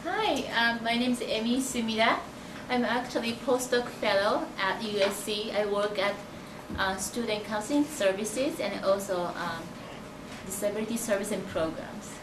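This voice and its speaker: A woman's voice: medium pitch, normal speed. A woman with a Japanese accent introducing herself on a panel, hesitant with many uhs. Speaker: postdoctoral fellow. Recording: echoey classroom, distant mic, noisy.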